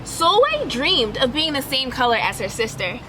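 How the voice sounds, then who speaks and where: A woman's voice: sits high, very animated, normal speed, background noise. A woman reading a picture book aloud like a teacher, expressively and instructively, with questions for young listeners. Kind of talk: children's read-aloud, expressive storytelling.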